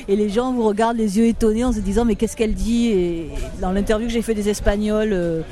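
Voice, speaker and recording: A woman's voice: medium pitch, fairly even intonation, brisk, dark tone. A woman from Marseille, chatting casually and laughing often as a podcaster being interviewed outdoors. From Marseille, France. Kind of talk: casual street chat.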